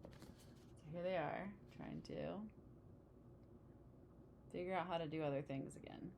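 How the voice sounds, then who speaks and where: A woman reading a children's picture book aloud, pausing for chatty asides and questions to the listener. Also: on the low side, steady tone, very noisy. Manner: engaging, asks the listener questions. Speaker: storybook reader.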